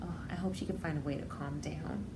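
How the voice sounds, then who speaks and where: A woman teacher reading a story aloud to young children, expressively, pausing to explain things in simple words. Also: sits low, fairly even intonation, noisy background. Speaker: preschool educator.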